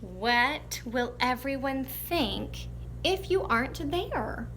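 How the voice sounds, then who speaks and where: A woman voicing a patient, coaxing, gently firm father in a storybook, with emphatic delivery. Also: high-pitched, lively intonation, slow pace, slight background noise, full character voice. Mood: reassuring.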